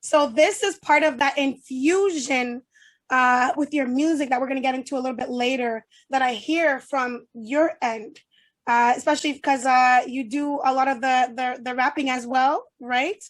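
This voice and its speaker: A woman hosting an online interview, talking to her guests over a video call. Her voice is high and she sounds enthusiastic and effusive, piling on praise. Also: fairly even intonation, normal speed. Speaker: host.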